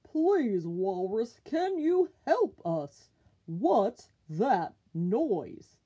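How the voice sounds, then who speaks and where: Lady doing a confused little seal for a kids story, high pleading voice, stretches out questions, super swoopy. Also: big pitch swings, mellow, breathy edge, dull audio, heavily acted. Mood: pleading, curious. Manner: pleading, wondering, drawn-out questions. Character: Magnus, puzzled, polite young ringed seal seeking help.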